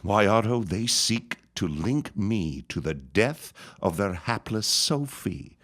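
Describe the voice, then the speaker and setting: A scheming reverend with a menacing, gloating manner. His voice sits very low and swings widely in pitch. He draws every word out slowly, as in an old-time radio play. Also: expressive, a bit bright. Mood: sinister. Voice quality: deep, slow, drawn-out menace. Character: scheming sinister small-town reverend, gloating. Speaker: Reverend Gaumont. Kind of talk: radio drama acting.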